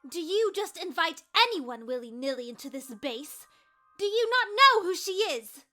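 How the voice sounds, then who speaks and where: A woman's voice: very high voice, sing-song, moderate pace, bright, forceful tone, faint music behind, full character voice. A woman playing a haughty, outraged young female ice dragon in a fan audio drama, imperious and accusatory.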